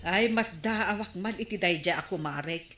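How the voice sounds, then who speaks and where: Sharp, bright Ilocano village wife played by an actress. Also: female voice, fairly low pitch, moderate pace, faint music behind, natural voice. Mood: conversational. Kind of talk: Ilocano radio comedy drama acting. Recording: roomy, echoing studio sound.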